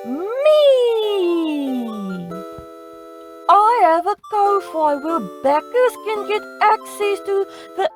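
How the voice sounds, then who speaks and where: A woman giving scripted comedic storytime narration in a dramatic, self-mocking manner. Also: very high voice. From South Africa. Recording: music playing behind the voice. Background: music.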